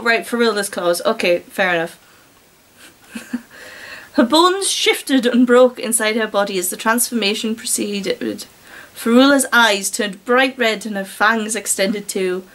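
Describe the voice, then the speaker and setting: A woman's voice: a little high, fairly bright tone. A British woman reading a story aloud with giggly, incredulous, sarcastic asides. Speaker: reader and commentator.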